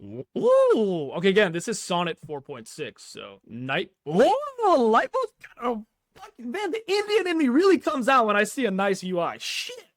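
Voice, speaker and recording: A man's voice: sits high, huge pitch swings, moderate pace, dry recording, clean studio sound. A man giving casual, unscripted tech commentary over a screen recording, slangy and reacting aloud as he goes. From Pakistani background. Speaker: tech YouTuber, host.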